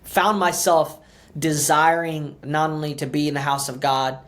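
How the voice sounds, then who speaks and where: A man's voice: on the high side, steady tone, normal speed, fairly bright tone. Chatty man, many fillers and restarts. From Ukraine, raised in the US. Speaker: church pastor, podcast guest. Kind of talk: casual podcast conversation.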